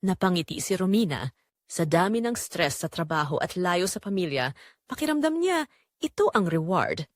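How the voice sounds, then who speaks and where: A woman's voice: on the low side, sing-song, moderate pace, dry recording, studio quality. A woman with a Filipino accent reads a scripted dramatic story as its narrator.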